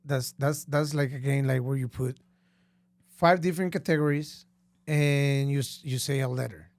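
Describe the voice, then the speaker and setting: A man with a Costa Rican Spanish accent, co-hosting a casual podcast chat in a relaxed way. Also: mid-range voice, normal speed, no room sound. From Costa Rica. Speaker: podcast co-host.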